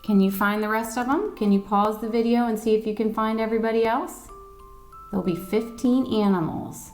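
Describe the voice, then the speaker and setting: A woman reading a picture book aloud to children as a storyteller, with an expressive, dramatic manner. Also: on the high side, brisk, soft background music. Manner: expressive, dramatic reading with questions to young listeners. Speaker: volunteer storyteller.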